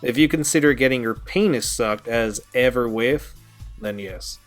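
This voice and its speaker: A man's voice: mid-range voice, moderate pace, soft background music, lightly acted. A man playing an easygoing, wry young guitarist friend, sheepish but good-humoured, in an audio drama. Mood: sheepish, confessing. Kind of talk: amateur audio drama acting.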